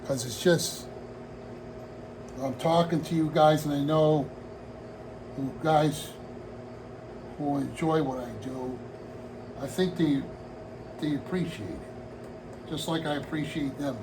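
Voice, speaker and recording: A man's voice: fairly high pitch, background noise. A man in his sixties talking casually to his viewers from his home hobby room, meandering and heartfelt, often restarting his sentences. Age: 60s. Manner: meandering, heartfelt, with frequent restarts and trailing asides. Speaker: hobby vlogger. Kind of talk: rambling casual talk to camera.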